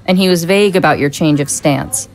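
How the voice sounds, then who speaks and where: A woman's voice: low voice, a little fast, low-quality recording. A woman playing a shrewd, businesslike vice-consul in an audio drama. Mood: measured. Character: shrewd, hard-nosed female vice-consul, political deputy.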